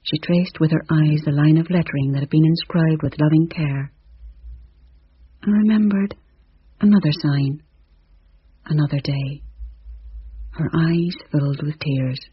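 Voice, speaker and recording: Breathy hushed female storyteller. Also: low-pitched, measured pace, pauses often, dry recording, lo-fi sound. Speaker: narrator. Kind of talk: storybook narration.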